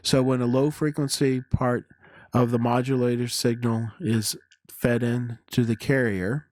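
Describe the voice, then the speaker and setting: A man narrating a hands-on synthesizer tutorial in a halting, deliberate way, explaining as he goes. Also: medium pitch, fairly even intonation, darkish tone, no room sound. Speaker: tutorial presenter.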